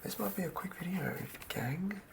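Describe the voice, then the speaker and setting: A man's voice: high-pitched, lively intonation, normal speed, gravelly, background noise. A man doing casual ASMR, thinking aloud as he writes and often murmuring words over again. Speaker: ASMR channel host. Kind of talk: whispered ASMR, tabletop game monster writing.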